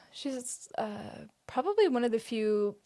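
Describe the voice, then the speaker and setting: A young woman with a slightly high voice chats on a podcast. She rambles with lots of fillers and pokes fun at herself. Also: lively intonation, slow, dark tone. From Las Vegas. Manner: giggly and self-deprecating, many fillers. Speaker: podcast co-host. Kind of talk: casual podcast chat.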